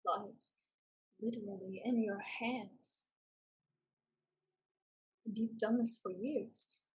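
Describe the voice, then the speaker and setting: A woman acting an earnest, probing survivor who keeps a pirate company in a staged play reading, her voice husky and breathy. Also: mid-range voice, steady tone, slow, rough recording. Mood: hesitant, sober.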